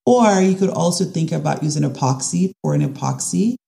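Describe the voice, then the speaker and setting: A woman, a design specialist talking through her ideas in a casual interview, often pausing and restarting. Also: low voice, expressive, vocal fry, warm tone. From Caribbean. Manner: thoughtful, with frequent restarts and fillers. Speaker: design and construction specialist guest.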